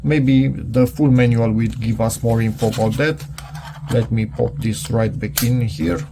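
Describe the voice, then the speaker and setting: Hesitant man with a creaky voice. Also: mid-range voice, fairly even intonation, noisy background. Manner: hesitant, halting, muttering to himself. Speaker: product reviewer. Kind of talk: casual unscripted hands-on review, thinking aloud while reading a manual.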